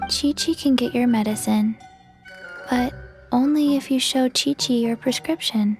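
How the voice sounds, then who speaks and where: A woman voicing a small zombie girl in a video game, blank and literal, speaking in a halting deadpan over music. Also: fairly high pitch. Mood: blank, flat. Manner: deadpan, halting, speaks of herself in third person. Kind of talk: scripted video game dialogue.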